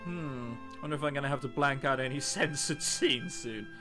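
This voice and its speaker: A man's voice: medium pitch, normal speed, music in the background. A man giving casual, sarcastic let's play commentary, joking and swearing as he plays and reads a visual novel. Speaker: video game let's player. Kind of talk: casual gameplay commentary, reading visual novel text aloud.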